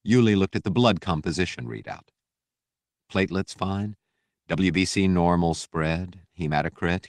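Low-voiced man narrating an audiobook. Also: no echo, clean studio sound. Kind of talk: scripted fiction narration.

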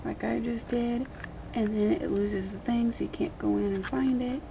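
A woman giving a casual, unscripted how-to in a rambling, colloquial, slightly crude way, with a creaky voice. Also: fairly high pitch, fairly even intonation, normal speed. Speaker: vlogger giving a how-to demonstration. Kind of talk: casual unscripted hands-on tutorial. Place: small dim room indoors at a table. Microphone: camera mic pointed at hands on table. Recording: noisy homemade camera recording.

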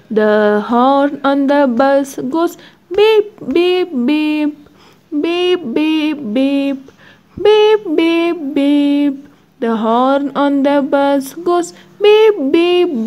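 A woman's voice: high voice, big-room sound. A woman singing nursery rhymes for children in a repetitive sing-along delivery. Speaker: children's song singer. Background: faint backing music.

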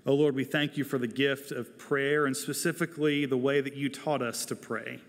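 A man in his forties delivering a prepared sermon as a pastor, earnest and deliberate, speaking into a lapel mic in a large church. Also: medium pitch, steady tone. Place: large church sanctuary, indoors, at a pulpit. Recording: long hall reverb of a large sanctuary.

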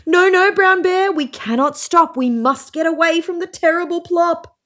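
Tiny terrified rabbits squeal their warnings in high, squeaky voices that leap far above a normal speaking pitch, as a woman reading a storybook puts them on. The cries sound breathless and desperate, and every line swings wildly up and down. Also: big pitch swings, slow pace, darkish tone, heavily acted. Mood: panicked. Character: frightened little rabbits in a panic.

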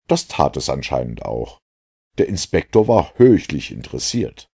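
A man's voice: a bass voice, big pitch swings, moderate pace, gravelly, warm tone, husky. A man reading a detective story as scripted literary narration. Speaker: audiobook narrator.

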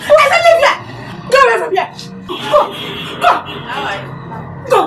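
Woman playing a shrill, scolding madam. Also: big pitch swings, unhurried, vocal fry, heavily acted. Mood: scornful. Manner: loud, mocking, scolding. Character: scornful woman shouting down a visitor. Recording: from far off, music underneath.

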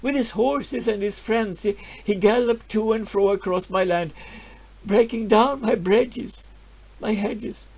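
An actor playing a grieving, stubborn peasant farmer, telling his story in a halting voice that swings from hushed to loud. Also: sits high, vocal fry, slightly rough, heavily acted. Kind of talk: dramatic storytelling monologue.

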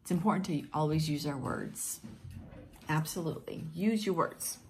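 A woman whose first language is Portuguese reads picture books aloud to children in a gentle, encouraging, singsong way. She is filmed at home on a close webcam. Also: deep voice, moderate pace, slight background noise. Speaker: teacher reading picture books. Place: home room with plants, indoors. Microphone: webcam at close range.

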